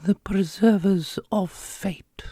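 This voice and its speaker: A woman's voice: mid-range voice, fairly even intonation, slow, dark tone, breathy edge, dry recording, studio quality. A woman playing a composed, knowing sage in a fantasy audio roleplay, with formal and theatrical delivery. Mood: knowing, grave.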